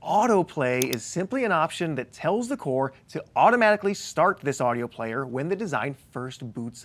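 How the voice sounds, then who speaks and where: A man, presenting scripted instructional narration in a conversational, wry and emphatic manner. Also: fairly high pitch. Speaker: training presenter.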